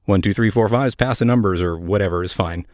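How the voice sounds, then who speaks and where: A man with a fairly low voice narrates as a podcast host. His delivery is scripted yet conversational, with wry little asides. The sound is very dry, free of room echo. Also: brisk, darkish tone. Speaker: air traffic controller.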